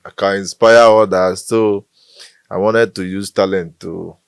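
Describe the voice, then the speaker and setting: A man's voice: low-pitched, steady tone, unhurried, creaky, clean tone, dry recording. A young Nigerian man with a Bayelsa-tinged Igbo accent, a comedian joking through a casual interview and slipping into pidgin. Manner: playful, jokey, slips into pidgin. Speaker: comedian guest.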